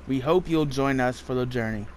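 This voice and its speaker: A man's voice: medium pitch, normal speed, a little mellow. Casual male gamer, faint background noise. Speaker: gaming video host. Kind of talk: casual playthrough commentary, reading on-screen text aloud.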